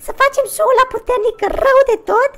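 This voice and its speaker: Man as a very high-pitched girly gamer. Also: steady tone. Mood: cheerful, polite. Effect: voice changer raising pitch to female. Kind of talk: casual prank call chat and hosting. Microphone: close desk microphone. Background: music.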